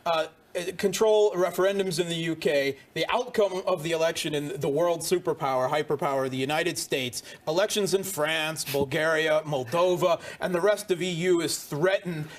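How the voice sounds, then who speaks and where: A man's voice: a little high, moderate pace. A man commenting on a political panel as a guest, with a sardonic way of making his points.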